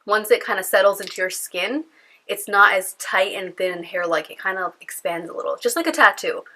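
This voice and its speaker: A young woman talks to the camera at home, her voice nasal and stuffed up from a cold. Her tone is bright and forward. She rambles casually with plenty of fillers. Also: mid-range voice, fairly quick. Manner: chatty, rambling, lots of fillers. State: congested from a cold. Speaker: beauty vlogger. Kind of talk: casual chatty vlog. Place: at home indoors.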